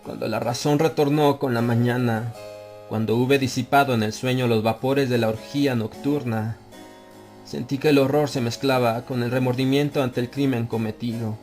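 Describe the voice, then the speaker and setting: A man narrating a dark literary tale as an audiobook, in a grave, measured first-person delivery. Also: mid-range voice, steady tone. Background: soft music and ambient effects.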